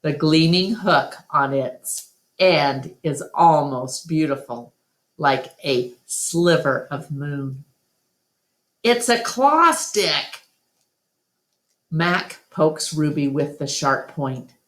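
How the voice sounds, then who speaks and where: Female storyteller for a children's read-aloud; low register, unhurried pace, deliberate and expressive delivery. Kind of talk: scripted storybook narration.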